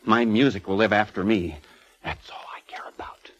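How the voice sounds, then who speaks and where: An actor playing an eccentric, gleefully morbid ageing composer in a 1940s radio drama, with a flamboyant, florid manner. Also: male voice, on the low side, normal speed, natural voice. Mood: elated, morbid. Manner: flamboyant, effusive, chuckling, florid. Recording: muffled vintage 1940s radio recording.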